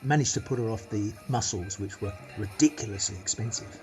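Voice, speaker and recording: A man's voice: lowish voice, a little fast, over music, lightly acted. A man playing a chatty, penny-pinching British vlogger. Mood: smug, matter-of-fact. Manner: chatty, matter-of-fact, addressing viewers. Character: penny-pinching, self-satisfied male money-saving vlogger. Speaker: actor. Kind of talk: radio play monologue as a vlog.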